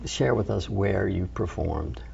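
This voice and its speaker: A man's voice: sits low, measured pace, vocal fry, darkish tone, husky. A man hosting a TV interview, asking chatty, leading questions of his guest. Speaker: TV interviewer, host. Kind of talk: interview conversation. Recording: dull lo-fi TV recording.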